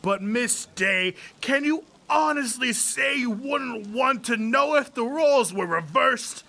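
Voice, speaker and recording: A bright, forceful voice with a gravelly creak, a woman acting a meddlesome male mayor in a radio play. He is fussy and pushy, blustering insistently as his pitch leaps around. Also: animated, slow, full character voice. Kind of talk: period audio drama acting.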